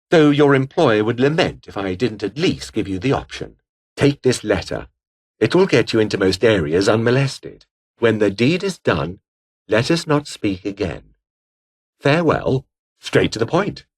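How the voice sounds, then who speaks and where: An actor voicing a scheming, haughty British officer in a video game, with a pompous, formal, disdainful way of speaking. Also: male voice, on the low side. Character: scheming, haughty British political officer, coldly ambitious man. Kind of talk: scripted game character dialogue.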